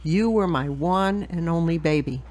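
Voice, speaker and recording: Actress as a low-voiced, serene dying mother. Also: normal speed, darkish tone, lightly acted. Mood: loving. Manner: loving, solemn. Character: dying elderly mother's spirit, devoted and serene. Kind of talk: audio drama monologue.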